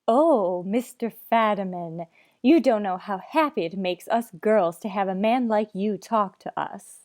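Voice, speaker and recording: A woman's voice: medium pitch, a little slow, darkish tone, dry recording, studio quality, close to an everyday voice. An actress playing a genteel, gushing literary society lady, effusive and proper, in old-time radio comedy style. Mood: eager, fawning.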